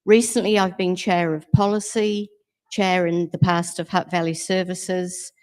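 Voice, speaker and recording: A middle-aged woman giving a steady, assured campaign closing statement as a council candidate. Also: on the low side, unhurried.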